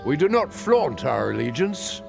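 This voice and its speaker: Casting: a proud fantasy game adventurer, gravelly and courteous, extremely animated intonation. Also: male voice, fairly high pitch, a little slow, over music, heavily acted. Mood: formal, assured. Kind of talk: fantasy video game voice acting.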